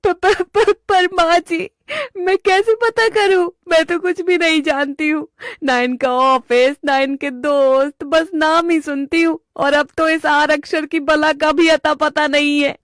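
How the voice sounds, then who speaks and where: Woman voicing high, whiny suspicious young wife. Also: fairly even intonation, measured pace, a bit bright, dry recording, clean studio sound. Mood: anxious. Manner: whiny, complaining, dramatic. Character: young suspicious, plaintive wife and daughter-in-law.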